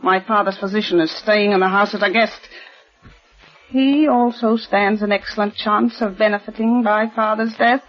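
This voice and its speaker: A woman's voice: mid-range voice, steady tone, moderate pace, natural voice. A proper, insistent heiress in her forties, played by a woman, speaks in formal, clipped 1940s radio style. The sound is dull and lo-fi, like an old broadcast. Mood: tense. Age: 40s. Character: tense, proper wealthy eldest daughter.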